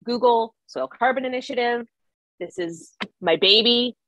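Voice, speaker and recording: A woman's voice: fairly high pitch, unhurried, fairly bright tone, dull audio. A middle-aged woman speaking casually as an expert panelist on a video call. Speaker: expert panelist, environmental program director. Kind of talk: casual, conversational panel talk. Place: indoor room on a video call.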